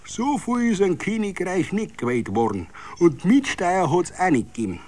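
A man's voice: sits high, very animated, normal speed, darkish tone, pitched above the performer's own voice. A man playing a mild, old-fashioned Bavarian tavern regular who complains plaintively and tries to keep the peace. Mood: nostalgic.

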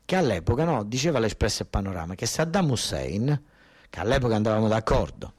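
A man's voice: on the low side, very animated, fast talker, a little mellow, breathy edge. Emphatic southern Italian man telling a story. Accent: southern Italian, Basilicata. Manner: repetitive, emphatic, explaining to listeners as if to family. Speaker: stage actor performing a narrative monologue. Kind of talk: theatrical first-person storytelling in colloquial dialect-tinged speech.

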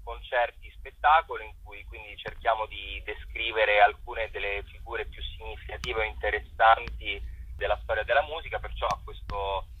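A low, husky-voiced man with a Roman Italian accent, a theatre director who explains things in a measured, orderly way. The sound is dry and dull, as if heard over a phone line. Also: fairly even intonation, dry recording.

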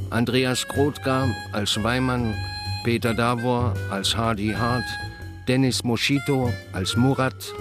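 A male announcer reads scripted radio drama credits at a slow, measured pace. His voice is mid-pitched, with prominent music underneath.

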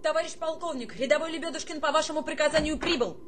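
A woman as a high-voiced, dutiful young recruit. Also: fast talker, lightly acted. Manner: clipped military replies. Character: young army private turned into a girl, dutiful. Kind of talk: radio drama acting. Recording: faint background ambience noise.

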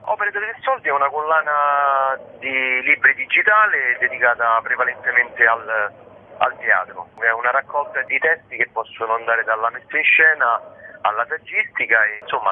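A man with a middling pitch speaks over a narrow telephone line with slight background noise. He explains methodically as an interviewed curator, pausing now and then to find words. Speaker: publishing project curator interviewed as guest. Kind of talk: spontaneous phone interview, explanatory. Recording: tinny phone line, faint noise.

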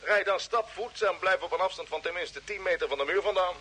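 A man acting a brisk, decisive commander of a Mars expedition in an old Dutch radio drama, giving clear orders. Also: high voice, pushed and bright. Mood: instructing. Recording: noisy vintage radio play recording.

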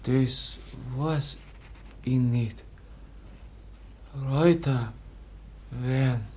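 A dazed, halting teenage boy. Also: mid-range voice, dark tone, slight background noise. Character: dazed exhausted teenage boy, barely able to speak. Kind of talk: radio play acting.

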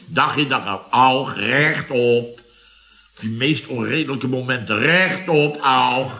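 An actor playing a grumbling, sarcastic middle-aged builder boss, blustering and exasperated, in 1970s Dutch radio comedy style. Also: male voice, medium pitch, slow pace, sharp tone.

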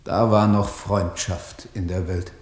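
An actor playing a dreamy, troubled poet, halting and lofty, in a German radio drama. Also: male voice, deep voice, level tone.

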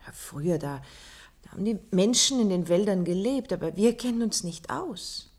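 An actress playing a skeptical, comfort-loving young woman of a future society, expressive and playfully doubting, in a German science-fiction radio play. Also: mid-range voice, moderate pace, close to an everyday voice. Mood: worried, dismissive.

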